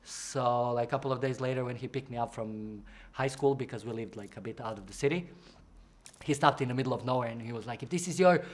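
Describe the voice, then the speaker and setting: A young man from Croatia, a drag performer, answering interview questions in a chatty, playful way, jumping into high voices to act out what other people said. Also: fast.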